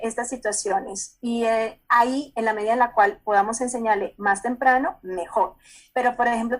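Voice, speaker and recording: A Colombian woman psychologist speaks over a video call in a somewhat high voice. She explains at an easy conversational pace, repeating words and often pausing to check that the listener follows.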